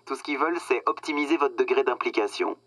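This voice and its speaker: A man's voice: mid-range voice, fast talker, fairly bright tone, dry recording, poor audio. An actor playing a smug, patronizing corporate marketing man who talks in glib business jargon in a radio satire. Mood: smug, lecturing. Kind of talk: satirical radio comedy dialogue, phone-call scene.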